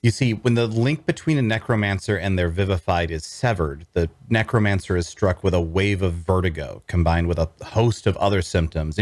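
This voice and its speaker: A man as a deep-voiced wry necromancer narrator. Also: a little mellow, no echo, close to an everyday voice. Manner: wry, storytelling. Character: wry, queasy male necromancer telling his own tale. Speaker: narrator in an audio drama table read. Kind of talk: dramatic first-person fantasy narration.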